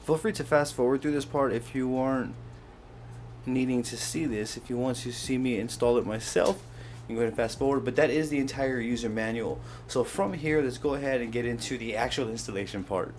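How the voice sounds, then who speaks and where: A man's voice: mid-range voice, brisk, darkish tone, a little hiss or hum. A man hosting a casual hands-on how-to video, explaining things step by step as he handles them. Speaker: host of a how-to product video. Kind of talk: casual hands-on tutorial narration.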